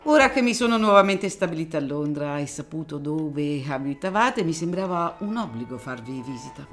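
A woman playing a low-voiced elderly lady. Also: animated, soft background music. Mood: composed. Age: older. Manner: formal, measured and courteous. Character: elderly former stage singer, poised and gracious woman. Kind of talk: audio drama acting.

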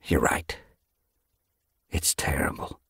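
A man voicing a cold male cat clan leader who declaims in measured, brisk phrases in an animal story. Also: very deep voice, expressive, vocal fry, slightly rough, no echo, studio quality, exaggerated voice. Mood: subdued regret.

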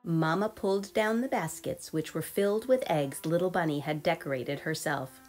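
Female children's story reader, low register, expressive and measured, light music bed. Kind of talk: expressive read-aloud for young children. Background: soft background music.

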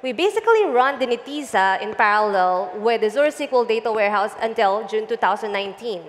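A woman in her thirties explaining a data project in a measured way, using a headset mic on a conference stage. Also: on the high side, expressive, in a large hall. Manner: measured, explanatory, some fillers. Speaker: guest, data engineering lead. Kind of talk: onstage tech interview. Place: large conference stage before an audience.

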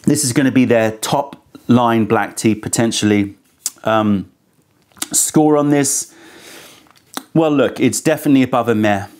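A man's voice: mid-range voice, measured pace, long pauses, darkish tone. A man in his thirties hosting a casual tea tasting review, thoughtful and candid, a bit critical, speaking into a lapel mic in an indoor studio. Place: indoor studio set with sofa.

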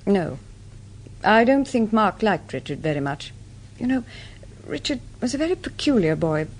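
An actress playing a composed society woman with an English accent, answering deliberately in a radio drama. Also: mid-range voice, big pitch swings, a little mellow. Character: composed, well-connected society woman under questioning.

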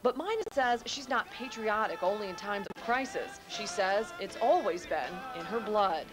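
A woman reporter narrating a local news feature in an even, measured delivery. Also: mid-range voice, music in the background, poor audio.

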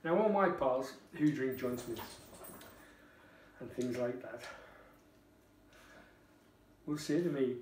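A man's voice: medium pitch, expressive, takes it slowly, pauses often, background noise. A middle-aged man with a Geordie accent, chatting casually and wryly at home as he reviews a beer, with the odd swear word. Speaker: beer vlogger. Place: home kitchen, indoors. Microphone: camera across the table. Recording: roomy kitchen, voice from a distance.

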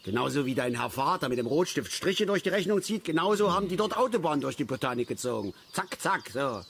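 An actor playing a blunt, rambling, know-it-all older East German angler in a naturalistic radio drama. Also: male voice, a little high, animated, quick pace, fairly bright tone, exaggerated voice. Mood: indignant. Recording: outdoor ambience with birds, clear background noise. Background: birdsong and lakeside nature ambience.

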